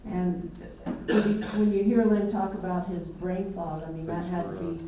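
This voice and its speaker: A woman's voice: on the low side, fairly even intonation, unhurried, a crowd in the background. A woman moderating a community forum, introducing speakers in a loose, chatty way. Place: lecture hall. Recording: echoey hall, mic far off, noisy.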